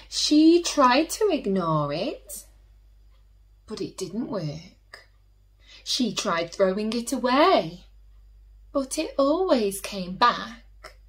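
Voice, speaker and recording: A woman reads a children's picture book with a very animated, slightly high voice. She takes her time, stretching important words and slipping into hushed little asides like a teacher at story time. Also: drawn-out words, pauses often. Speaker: teacher reading children's stories, host. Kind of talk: expressive picture-book read-aloud for children.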